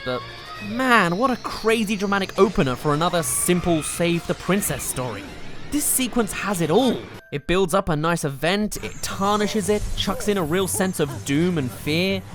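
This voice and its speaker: A man narrating a scripted countdown review as a video host, with an enthusiastic, emphatic delivery. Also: high-pitched. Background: music.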